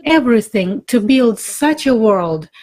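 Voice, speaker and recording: Higher-pitched woman interpreting in halting phrases. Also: expressive, darkish tone. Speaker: interpreter voicing forum speakers' words in English. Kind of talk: live spoken interpretation of personal talk.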